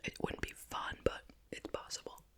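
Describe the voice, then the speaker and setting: A woman whispering softly and breathily in a relaxed, rambling, conversational ASMR style, as the host of an eating video. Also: moderate pace. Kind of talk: whispered ASMR chit-chat, eating show. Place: at home in a residential house.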